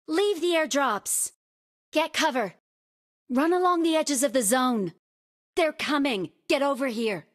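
A woman voicing a female battle-royale squadmate in a shooter game, giving short, clipped tactical callouts. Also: high-pitched. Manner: clipped, isolated command phrases. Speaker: game voice-pack actor.

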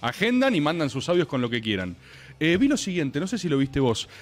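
A man's voice: on the high side, fairly quick. A man in his thirties with an Argentine accent, hosting a casual streaming show and pressing his point over and over. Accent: Argentine Spanish. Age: 30s. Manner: animated, insistent, repeats himself. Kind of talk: casual banter.

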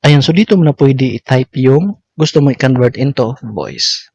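A man's voice: medium pitch, lively intonation, moderate pace, vocal fry, darkish tone, husky. A man with a Filipino accent narrating a step-by-step tutorial as a content creator. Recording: dull lo-fi audio.

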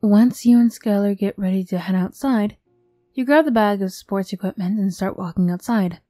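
A woman narrates an ASMR audio story in a very mellow, soft-edged voice. She reads scripted second-person narration. The recording is close and dry, with music underneath. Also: medium pitch, normal speed, dry recording.

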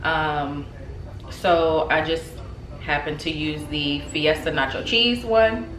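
A woman in her thirties casually talking through a recipe in her home kitchen, chatty and relaxed, with lots of ums and likes. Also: low voice, takes it slowly, over music. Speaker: home cook vlogger. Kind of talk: casual cooking demonstration. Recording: echoey kitchen with background noise. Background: TV playing in the background.

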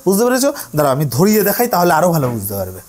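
A man's voice: high-pitched, huge pitch swings, rapid-fire, darkish tone. A man giving a hands-on lesson as a hairdressing instructor, repeating his phrases and often checking that learners follow. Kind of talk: hands-on tutorial to trainees.

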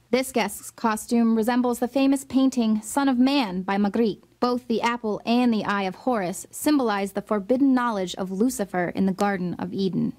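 A woman's voice: fairly high pitch, a little mellow. A woman reading a scripted voice-over narration in a dramatic, insinuating way.

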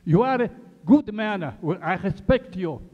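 An older man with a Portuguese accent speaks earnestly and haltingly into a handheld mic, restarting words as he goes. His fairly high voice sounds dull and carries a long hall reverb. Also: animated. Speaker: audience member. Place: large wood-paneled hall, indoors.

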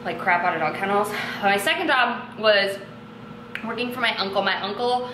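A woman's voice: mid-range voice, normal speed, noisy background. A young woman vlogging at home, chatting casually and rambling with lots of "like" and "um", filmed by a camera on a tripod. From western New York. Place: kitchen and bedroom at home.

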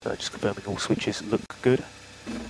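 A man's voice: fairly low pitch, fairly even intonation, moderate pace. A man working as a race engineer, giving terse, matter-of-fact instructions over motor racing team radio. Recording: noisy car radio transmission.

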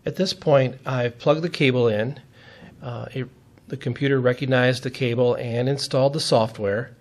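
A man's voice: medium pitch, fairly even intonation, normal speed. A man giving a step-by-step technical demonstration in a methodical, plain-spoken way, as an instructor would.

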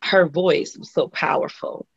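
A middle-aged woman from Los Angeles with a husky voice, talking as a guest singer on a video call. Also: low voice, steady tone, unhurried, dry recording. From Los Angeles, USA. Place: home room indoors. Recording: dull lo-fi video call audio.

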